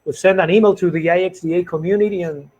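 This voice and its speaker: Man, relaxed, on a video call. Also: high-pitched, quick pace. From Chile. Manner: relaxed, loosely phrased storytelling. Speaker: guest speaker. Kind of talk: casual online talk. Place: small room at home. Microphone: headset on a video call.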